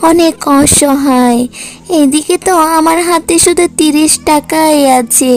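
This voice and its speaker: A woman acts out a kind cartoon mother fox with a very high, girlish pitch. She sounds plaintive and expressive, a caring young wife who worries easily. Music plays behind her. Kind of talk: cartoon voice acting.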